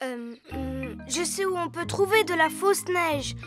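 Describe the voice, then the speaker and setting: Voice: extremely high pitch, lively intonation, unhurried, music in the background. A performer voicing a small, earnest, imaginative animal child with a childlike voice in a children's cartoon.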